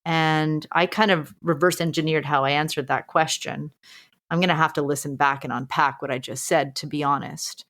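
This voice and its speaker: Low-voiced woman teacher thinking aloud. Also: steady tone, moderate pace, fairly bright tone. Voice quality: strong voice. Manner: thinks aloud with restarts, earnest. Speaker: podcast co-host. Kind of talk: reflective casual conversation.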